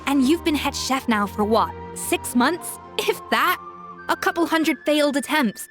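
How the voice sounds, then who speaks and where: An actress playing a proud, brilliant chef who mentors bluntly and scolds with exasperation in a game cutscene. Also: female voice, fairly high pitch, lightly acted. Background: faint game music.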